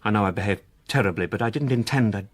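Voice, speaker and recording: An actor playing an evasive, guilty solicitor in a radio drama, with a hesitant, apologetic manner. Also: male voice, lowish voice, fast talker, close to an everyday voice. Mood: guilty, pleading. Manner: hesitant, faltering, flustered. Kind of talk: naturalistic radio drama acting.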